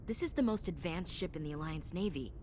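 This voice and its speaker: A woman's voice: on the low side, expressive, a little fast, a little mellow, a little hiss or hum, close to an everyday voice. An actress playing an earnest, wary navy chief in a game, plain-spoken and deferential to her superior. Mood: concerned.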